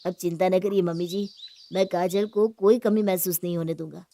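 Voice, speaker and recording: Woman acting a low, bright young bridegroom. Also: steady tone, normal speed, slight background noise, close to an everyday voice. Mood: reassuring. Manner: polite, reassuring. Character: reassuring young bridegroom, polite to his mother-in-law. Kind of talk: Hindi cartoon story acting.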